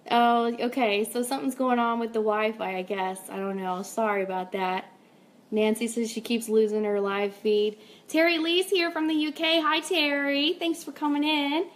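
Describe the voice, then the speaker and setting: Chatty, upbeat woman, higher-pitched voice. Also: fairly bright tone. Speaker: host of a fan radio live stream. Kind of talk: casual live stream chat, reading viewer comments and announcements.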